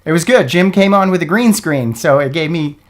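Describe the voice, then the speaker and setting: A man's voice: on the high side, lively intonation, moderate pace, crowd noise. A man hosting a podcast in a casual, folksy way, sounding a little froggy from a cold. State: froggy, getting over a cold. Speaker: podcast host. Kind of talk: casual sponsor interview podcast.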